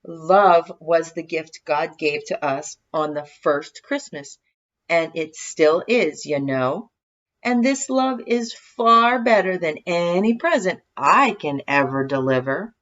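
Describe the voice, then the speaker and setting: A gentle, kindly old Father Christmas figure from a storybook, acted out by a woman. The delivery is reassuring and unhurried in manner, and the recording sounds slightly dull. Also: low voice, expressive, heavily acted. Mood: warm, cheerful. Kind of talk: children's storybook character voice.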